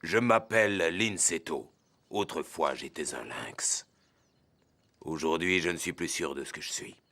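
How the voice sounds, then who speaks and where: A man's voice: rumbling low, measured pace, sharp tone, exaggerated voice. A man voicing a proud, earnest lynx warrior in a cartoon, speaking in a grave, formal and pleading way. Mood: solemn, pleading.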